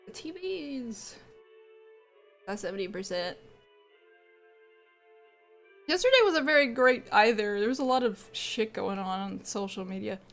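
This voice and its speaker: A woman's voice: mid-range voice, animated, moderate pace, fairly bright tone, faint music behind. A woman streamer chatting casually with her viewers, stuffed up from a cold and allergies, rambling and laughing often. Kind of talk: casual livestream chat with viewers.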